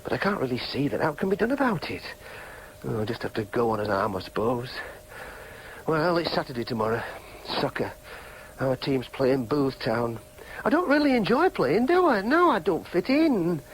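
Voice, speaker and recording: A man's voice: a little high, sing-song, moderate pace, slight background noise, low-quality recording. An actor playing a discontented, dithering young Yorkshire man in a radio drama, hesitant and self-doubting. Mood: gloomy, wistful. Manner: hesitant, self-doubting, exclamatory when roused.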